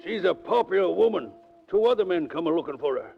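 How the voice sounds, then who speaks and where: Gravelly, high-voiced folksy boat captain. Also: male voice, sing-song, brisk, heavily acted. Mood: folksy, helpful. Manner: rustic, ungrammatical speech. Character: folksy old boat captain, plain and helpful. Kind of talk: 1940s radio acting. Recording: muffled vintage 1940s radio recording.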